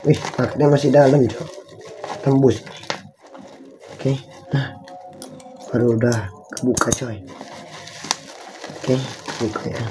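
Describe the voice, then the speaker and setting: A hoarse young man, hosting a casual live-stream unboxing in rambling slang and clearing his throat often. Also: medium pitch, slow, pauses often, music in the background, rough recording. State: hoarse from a cough. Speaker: channel host.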